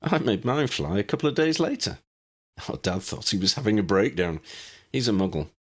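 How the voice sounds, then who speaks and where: A chatty, cheerful young man from a storybook, read by a male narrator. The voice is husky and talks in an easy, anecdotal manner. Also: fairly low pitch, sing-song, a little fast, heavily acted.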